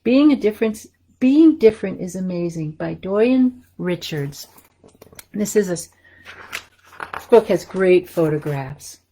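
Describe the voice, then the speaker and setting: A woman's voice: fairly low pitch, lively intonation, a little slow, dark tone, a little hiss or hum, poor audio. A woman hosting a story time for young children, reading aloud and chatting casually in simple, encouraging language.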